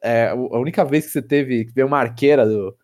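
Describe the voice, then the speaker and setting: A man's voice: mid-range voice, fast, darkish tone. A man, co-hosting a casual podcast chat about video games, rambling with many restarts. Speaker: podcast co-host.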